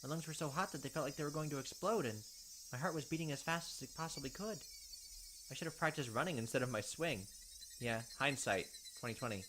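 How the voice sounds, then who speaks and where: Brief: quick-talking man reading a visual novel and joking about it. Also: a little high, noisy background. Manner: animated, wry asides between narration. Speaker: let's play host. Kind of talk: reading game text aloud and commenting. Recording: game audio with loud cricket ambience.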